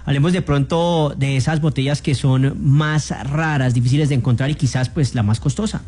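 A man's voice: mid-range voice, fairly even intonation, normal speed, dark tone. A man putting conversational questions as an interviewer.

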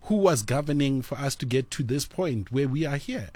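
A South African man hosting a radio talk show, pausing and repeating words, then building to pointed rhetorical questions. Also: mid-range voice, expressive, dark tone, no echo.

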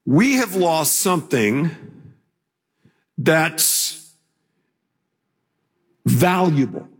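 A man's voice: fairly high pitch, very slow, husky. A middle-aged male pastor preaching a sermon, telling a personal story and acting out the quoted lines. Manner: storytelling, animated voices for quotes.

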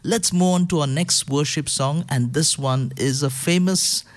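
A man's voice: fairly high pitch, unhurried. A man hosting a faith radio show, thanking guests and introducing the next song.

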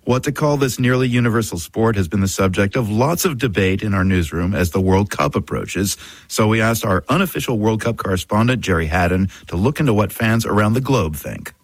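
An American man presents radio news from a script. His voice sits fairly low, and the studio sound is very dry, with no room around it. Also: lively intonation, fairly quick, no room sound. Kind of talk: scripted broadcast introduction.

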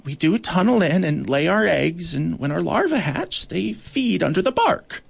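A man putting on a tiny, shy bark beetle with a voice pitched far above his own, slow and halting. It pleads its case timidly, with a husky, breathy edge. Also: very animated, exaggerated voice.

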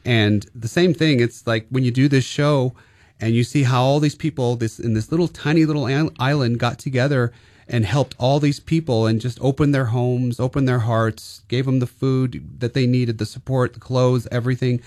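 A man's voice: mid-range voice, steady tone, moderate pace, a little mellow, no echo. Hesitant man, dry close sound. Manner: hesitant, many restarts and fillers. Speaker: stage actor. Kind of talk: casual interview.

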